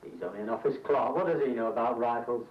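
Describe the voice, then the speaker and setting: A man's voice: mid-range voice, quick pace, slight background noise, close to an everyday voice. A man acting a defensive soldier in a 1970s training film. His voice is distant from the mic in a very echoey room, with a dull old soundtrack. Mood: resigned. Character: defensive soldier denying guilt among comrades.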